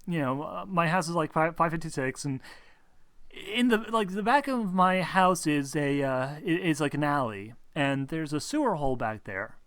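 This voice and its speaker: A man voicing a nervous woman who haltingly asks for help in a tabletop game. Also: a little high, lively intonation, a bit bright, dry recording. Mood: nervous, hesitant. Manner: halting, stammering. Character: nervous woman client asking investigators for help.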